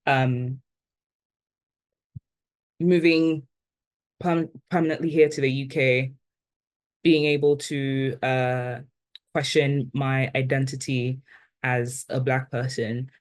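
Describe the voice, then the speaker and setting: A woman in her twenties from Ghana, with an accent that blends Ghanaian and British, reflecting thoughtfully as an interview guest and often pausing on "like" and "um". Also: very deep voice, level tone, darkish tone. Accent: blend of Ghanaian and British, now neutralized. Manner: thoughtful, with many "like" and "um" fillers.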